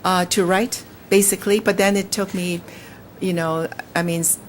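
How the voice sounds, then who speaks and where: A woman's voice: on the low side, slight background noise, rough recording. A woman from Hong Kong whose first language is Cantonese, a novelist reading a passage from her book and then answering interview questions with hesitant fillers. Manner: measured, lively when reading, hesitant with fillers in talk. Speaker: novelist guest.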